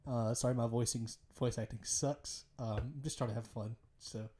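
A man's voice: mid-range voice, steady tone, normal speed, mellow. Man with a tired, strained voice. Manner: hesitant, lots of fillers. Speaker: streamer. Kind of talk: reading game dialogue aloud with voices.

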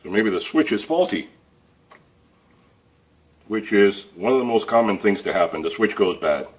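A man's voice: lowish voice, expressive, moderate pace. A man hosting a casual hands-on repair tutorial, thinking aloud with fillers as he works in an indoor workshop. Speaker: DIY repair channel host. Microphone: camera overhead of workbench, voice off-screen.

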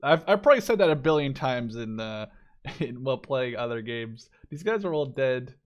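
A man's voice: medium pitch, lively intonation, moderate pace, dull audio. A young man giving casual, informal let's play commentary on a game. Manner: informal, sweary. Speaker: gaming video creator.

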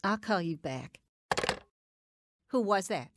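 A woman's voice: mid-range voice, lively intonation, slow, background noise. An actress playing an abusive, self-righteous mother in a radio drama, snapping with cold scorn. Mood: gossiping, suspicious.

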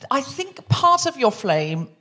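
A woman's voice: high-pitched, sing-song, slow pace, fairly bright tone. A woman hosting a live comedy podcast, playful and self-mocking in her banter. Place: live show before an audience.

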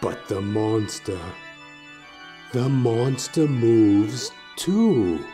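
A man narrating a horror audio play in a theatrical, drawn-out way that moves between hushed and screaming. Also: medium pitch, expressive. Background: music.

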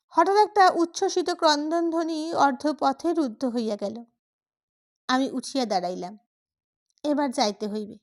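High-pitched woman narrating a story. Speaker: audiobook narrator. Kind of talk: dramatic literary story narration.

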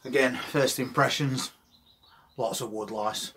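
An older man with an English regional accent chats casually as he gardens, in a mid-pitched, understated voice. He is recorded a little way from the camera, with noticeable background noise. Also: steady tone, unhurried, a bit bright. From United Kingdom. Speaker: gardening vlogger. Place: outdoors in an allotment garden and inside a greenhouse.

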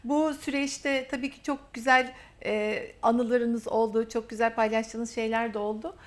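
A woman hosting a TV interview, affirming her guest warmly and drawing out her phrases. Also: a little high, level tone. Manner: warm, affirming, drawn-out phrasing. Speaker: TV interview host.

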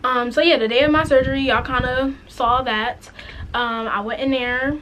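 A raspy-voiced woman in her twenties telling a frank, chatty story-time vlog to camera, full of "like" and "um". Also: high voice, steady tone, moderate pace, a bit bright, a little hiss or hum, low-quality recording. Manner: chatty, frank, many "like" and "um" fillers. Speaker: vlogger. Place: indoors, plain light wall, small room. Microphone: camera in front, head and shoulders.

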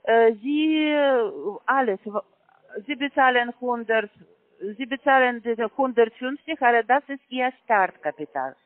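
A man who is not a native German speaker, making a sales pitch on a phone call in halting, broken German full of fillers. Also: no room sound. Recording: phone line, dry.

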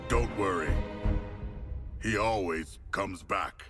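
An actor playing a measured, formal, disdainful male villain in a video game cutscene, with loud music over him. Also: low voice. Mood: calm.

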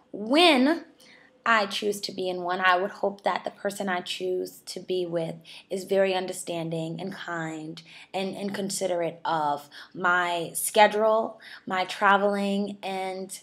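A woman's voice: mid-range voice, a bit bright. A woman in her twenties from New York City, a model, chatting in a friendly way as she answers viewer questions. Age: 20s. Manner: friendly, chatty, laughs often. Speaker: model and charity ambassador.

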